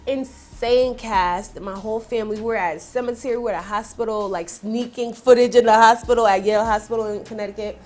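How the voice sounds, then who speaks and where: A young woman from Jamaica, guest on an interview, talks in a fairly high voice. She tells her stories with animation and drops a "honey" now and then. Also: fast talker, few pauses. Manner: animated storyteller, calls people honey. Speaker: rapper guest. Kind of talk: casual interview chat.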